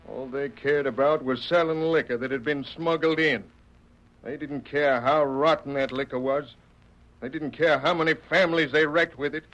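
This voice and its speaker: An actor playing an earnest, indignant cowboy prisoner in a Western radio drama, speaking plain, emphatic frontier dialect. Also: male voice, on the high side, a bit bright, natural voice. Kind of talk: 1930s-40s Western radio acting. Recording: muffled old radio recording.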